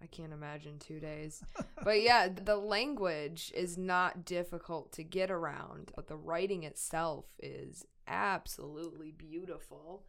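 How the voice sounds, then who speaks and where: A woman's voice: low-pitched, measured pace, vocal fry, a bit bright. An American woman hosting a podcast, talking conversationally about a book in an articulate, reflective way. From United States. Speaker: podcast host.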